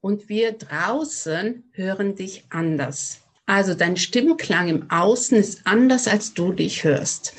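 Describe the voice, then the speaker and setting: A woman's voice: medium pitch, slightly rough. A middle-aged woman from near Cologne with a slight Rhineland accent, a voice coach and opera singer, speaking reflectively and earnestly as a podcast guest. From near Cologne, Germany. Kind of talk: podcast interview.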